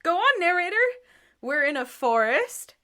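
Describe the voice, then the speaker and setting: High, excitable wandering musician. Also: female voice, moderate pace, heavily acted. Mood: curious. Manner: eager, dramatic.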